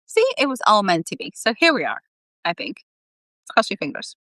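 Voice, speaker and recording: A woman author reading her own fiction aloud in an animated storytelling style, dropping in chatty, informal, self-deprecating asides. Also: medium pitch, moderate pace, no echo.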